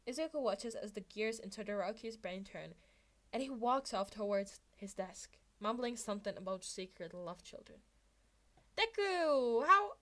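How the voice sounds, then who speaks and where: A woman reading a story aloud as narrator, in expressive scripted narration. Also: mid-range voice, normal speed. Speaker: fan fiction reader, narrator.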